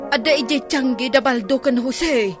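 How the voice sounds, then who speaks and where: A woman playing an excitable, gossipy villager in an Ilocano comedy radio drama. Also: high-pitched, a little slow, music in the background. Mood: alarmed.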